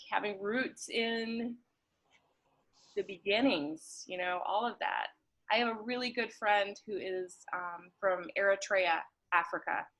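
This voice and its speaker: A woman chatting casually and warmly, telling anecdotes in an informal, halting way with repeated words. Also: mid-range voice. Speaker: conversation partner, guest. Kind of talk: casual, warm chat and anecdote.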